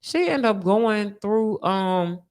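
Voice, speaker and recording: Woman podcast storyteller, candid and profane, animated delivery in loose everyday slang. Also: medium pitch, slow pace, clean tone.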